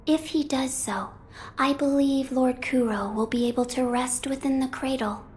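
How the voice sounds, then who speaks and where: A woman playing a gentle young holy maiden in a video game, speaking in a formal, measured and delicate way. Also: high voice, fairly even intonation, a little hiss or hum, lightly acted. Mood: serene, solemn. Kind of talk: video game cutscene acting.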